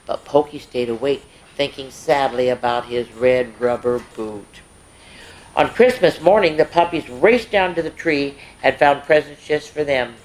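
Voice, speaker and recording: A woman hosting story time, reading aloud to young children in an expressive way with little asides. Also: rumbling low, unhurried, rough recording.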